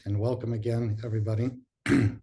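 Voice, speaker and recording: A man hosting a group session who sounds congested from a sinus infection and tells stories in a relaxed way, with some uhs and repeated words. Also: on the low side, a little fast, gravelly, noisy background. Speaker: host. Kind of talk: casual spoken talk to a group.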